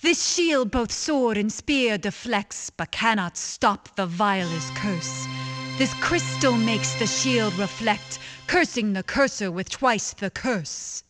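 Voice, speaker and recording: A cold sorceress queen in a cartoon game. She speaks with slow, stately command, as if reciting a spell, with music behind. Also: medium pitch, expressive. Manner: stately, incantatory. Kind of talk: cartoon character acting for a video game.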